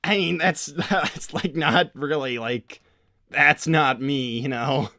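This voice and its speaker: A man's voice: fairly high pitch, takes it slowly, pushed and bright, no room sound, clean studio sound, full character voice. A man voicing a flustered, insecure young cartoon hedgehog hero, stammering and hesitant, in an improvised roleplay. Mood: bashful, unsure. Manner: stammering, hesitant, self-deprecating. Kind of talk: improvised in-character livestream roleplay.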